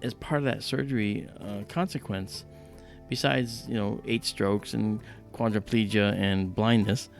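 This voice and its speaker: Male livestream host, gravelly deep voice, animated casual explainer, music underneath. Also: a little slow. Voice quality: deep voice from a paralyzed vocal cord. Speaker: livestream host and astronomy presenter.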